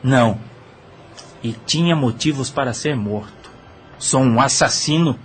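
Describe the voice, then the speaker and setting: A man's voice: mid-range voice, unhurried, lightly acted. A man playing a murdered brothel owner and killer who confesses matter-of-factly in an audio drama. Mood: subdued regret. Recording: faint background noise.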